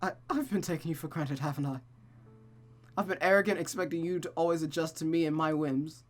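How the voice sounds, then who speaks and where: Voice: medium pitch, steady tone, brisk, soft background music, lightly acted. A reader voicing an anxious, lovestruck young woman from a visual novel, earnest and faltering as they plead. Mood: remorseful.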